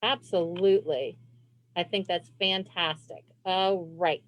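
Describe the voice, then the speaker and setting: A woman librarian reading a children's picture book aloud in an expressive, encouraging way. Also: fairly low pitch, slow pace, no room sound. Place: indoors on a video call.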